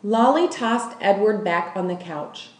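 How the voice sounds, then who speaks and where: Woman reading a kids book out loud, takes her time, very up and down, roomy echo. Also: mid-range voice, expressive, measured pace, far from the mic. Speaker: school staff member reading aloud. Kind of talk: scripted storybook narration, read aloud. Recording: echoey room.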